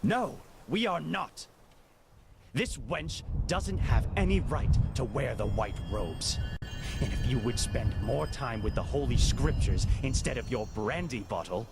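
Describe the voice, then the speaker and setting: An actor playing a haughty, indignant novice of a holy order in a fantasy game, bitter and zealous. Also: male voice, mid-range voice, expressive, measured pace, creaky, loud music behind the voice. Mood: contemptuous, angry.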